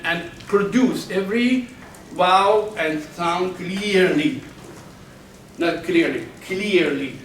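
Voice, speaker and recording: A man with a high voice lectures a class at a slow, deliberate pace, enunciating carefully and often restarting his sentences. He sounds set back from the mic in an echoey classroom, with faint noise behind him. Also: recorded from a distance. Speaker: university lecturer. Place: university classroom.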